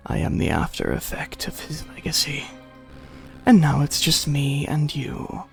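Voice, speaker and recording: A streamer voicing a mocking, sinister male digital villain who talks in slow taunts and drops to whispers. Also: medium pitch, gravelly, a little mellow. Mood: gloating. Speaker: streamer voicing a visual novel.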